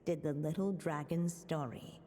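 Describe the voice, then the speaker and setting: Storybook game narrator: gentle witch mother, lowish voice, very measured and solemn, faint ambience behind. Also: a little hiss or hum.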